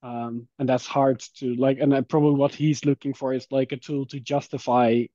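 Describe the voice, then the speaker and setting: Mellow-voiced man thinking aloud, dull audio. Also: medium pitch, little pitch movement, moderate pace, no echo. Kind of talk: casual work call discussion. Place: online call. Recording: dry, dull call audio.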